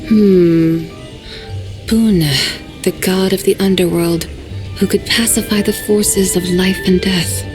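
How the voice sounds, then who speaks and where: Quiet, pensive woman, slow, mid-range voice. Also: lowish voice, fairly even intonation, music in the background. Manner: thoughtful, soft-spoken. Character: reserved woman with forgotten past, contemplative. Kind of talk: game voice acting.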